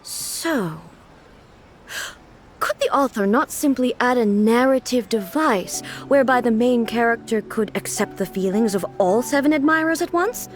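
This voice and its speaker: A woman voicing a stiff, formal female divine ruler in a video game, speaking in a calm, measured, literal-minded way. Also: a little high. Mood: earnest. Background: game music.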